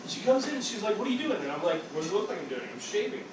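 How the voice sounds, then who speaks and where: A man's voice: high voice, big pitch swings, very fast, background noise. A man from upstate New York doing a loose, crude open-mic stand-up set on a small theater stage, talking into a handheld mic. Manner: loose, rambling, crude, full of "like" and "okay?". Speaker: stand-up comedian. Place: small dark theater stage with a live audience. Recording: echoey room with crowd laughter.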